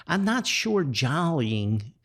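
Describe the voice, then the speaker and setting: A man's voice: fairly high pitch, very animated, slow. A middle-aged man hosting a podcast, telling nostalgic anecdotes in a casual, rambling way. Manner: rambling, chuckles often, nostalgic storytelling. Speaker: podcast host and author.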